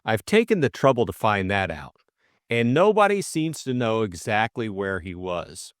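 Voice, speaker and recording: A man voicing a breezy, excitable young English gentleman sleuth in an audiobook, full of upper-class slang and exclamations. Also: fairly low pitch, moderate pace, dry recording, clean studio sound. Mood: shrewd, earnest.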